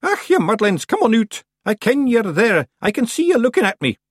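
A very old, commanding witch, as a man puts her on, slow and clipped, with every word placed deliberately. The voice sits high for him and is breathy and close. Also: huge pitch swings, no room sound. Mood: stern.

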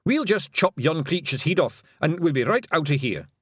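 A man's voice: on the high side, very animated, normal speed, a bit bright, slightly rough, no echo, clean studio sound, pitched above the performer's own voice, full character voice. A man voicing a small, fierce, boastful Pictsie warrior chief with a Scottish accent. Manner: blustering, emphatic.

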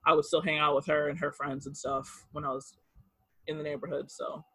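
A man in his thirties telling personal stories in an interview, halting with fillers and restarts and laughing easily. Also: a little high, flat delivery, pushed and bright, breathy edge, dry recording, poor audio. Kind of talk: casual storytelling interview.

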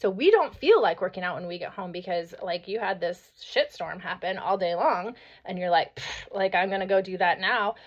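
A woman in her thirties or forties hosting a motivational podcast, hoarse from a cold, talking energetically and often asking "right?". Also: medium pitch, moderate pace, hardly any pauses. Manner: energetic, chatty, peppered with "right?" and "like". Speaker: podcast host. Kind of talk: motivational solo podcast talk. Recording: slightly dull lo-fi audio.

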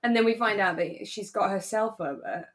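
A woman co-hosting a podcast in a relaxed, casual conversational style. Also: medium pitch, fairly quick. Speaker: podcast host; actor. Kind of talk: relaxed casual chat about a radio drama.

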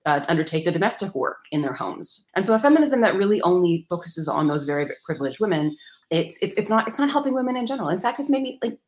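Low-voiced woman, rapid academic talk, hedging, restarts. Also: big pitch swings. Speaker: guest author. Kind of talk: academic explanation in an interview.

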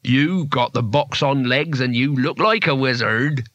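Man acting a solemn, menacing zealot, bright-edged. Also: mid-range voice, measured pace, no room sound, highs cut off, close to an everyday voice. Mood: accusing. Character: solemn, menacing, grey-faced male zealot. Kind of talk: audiobook character voice.

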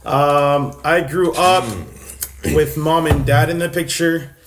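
A man's voice: fairly high pitch, fairly even intonation, takes it slowly, noisy background. A young man from Texas sharing his faith story in casual, earnest talk, full of fillers and restarts. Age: young adult.

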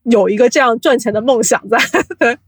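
A woman's voice: a little high, fairly quick, slightly rough, dry recording, clean studio sound. A woman guest on a podcast, explaining things casually in a giggly, somewhat hesitant way. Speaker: podcast guest, audio drama producer. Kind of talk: casual chat.

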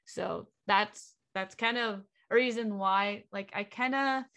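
A woman's voice: mid-range voice, slow pace, a bit bright. A young woman from Vietnam, a native Vietnamese speaker, co-hosting a casual podcast and talking with many fillers and restarts. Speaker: podcast co-host.

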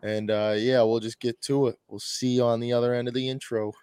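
A man's voice: medium pitch, level tone, fairly quick, heavy background noise. A young man hosting a casual podcast, upbeat and loose, laughing easily. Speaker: podcast host.

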